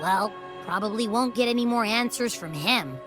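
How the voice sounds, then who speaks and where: An actress voicing an earnest, puzzled young female companion in a video game, expressive and questioning. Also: on the high side, moderate pace, over music, lightly acted. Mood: confused, doubtful. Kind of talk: scripted game voice acting, expressive.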